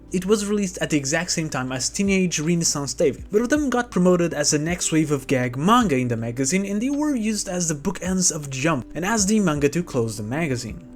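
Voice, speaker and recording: Sarcastic man discussing manga. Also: fairly high pitch. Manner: sarcastic, dry jokes. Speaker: manga video essayist. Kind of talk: scripted commentary.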